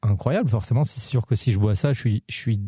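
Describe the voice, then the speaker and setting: A man in his thirties co-hosting a podcast, telling stories in a lively, slangy, casual way. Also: medium pitch, fast, breathy edge, no room sound, clean studio sound. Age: 30s. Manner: animated storyteller, slangy. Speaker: podcast co-host. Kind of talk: casual banter.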